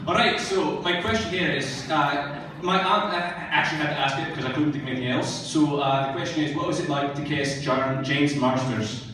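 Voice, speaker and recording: A man in a convention audience puts a question to a guest in a halting manner. His voice is slightly high. The sound is distant and very echoey, with clear noise behind it. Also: steady tone, a bit bright. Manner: halting, hesitant. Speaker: audience member asking a question. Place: large convention hall. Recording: very echoey, distant, noisy hall.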